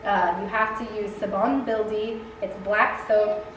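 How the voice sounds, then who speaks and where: A woman's voice: medium pitch, steady tone, measured pace. A young woman chatting casually in a Q&A, laughing often and using lots of fillers. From United States. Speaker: Peace Corps volunteer answering questions. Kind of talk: casual Q&A chat. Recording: echoey room, distant mic, background noise.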